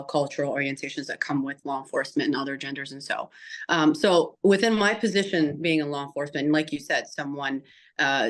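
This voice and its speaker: A young woman from the Chicago area, a police detective, giving an earnest, passionate interview answer and often restarting her phrases. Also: sits low. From Chicago area, USA.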